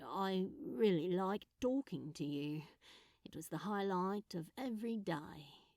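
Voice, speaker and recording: A woman acts out a lovestruck man in an audiobook romance, easily flustered and given to sarcasm. The delivery is halting and drawling, with very lively pitch swings, recorded close and dry. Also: no room sound. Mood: fond, earnest. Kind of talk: fan fiction audiobook dialogue.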